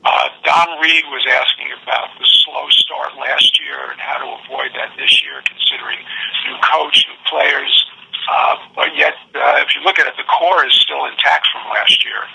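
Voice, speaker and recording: A man with a gravelly, raspy voice hosting a conference call and relaying questions conversationally in halting phrases. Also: medium pitch, steady tone, pushed and bright, slight background noise, poor audio. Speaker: host moderating a conference call. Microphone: telephone conference call.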